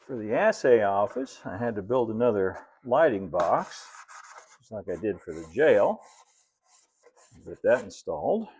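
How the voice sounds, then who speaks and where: A man, narrating a hands-on hobby tutorial in a relaxed, folksy way, as if thinking aloud while he works. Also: on the low side, unhurried, long pauses, husky. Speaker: hobbyist presenter of model railroad build videos.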